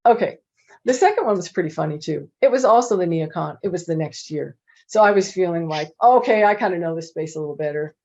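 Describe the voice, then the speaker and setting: A woman's voice: lowish voice, quick pace. A middle-aged woman telling casual stories on a panel from her home office, chatty, with many fillers. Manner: chatty, animated, many "you know" fillers. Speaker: panel presenter. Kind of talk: casual anecdotal storytelling. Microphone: video call. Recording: dull lo-fi video call audio.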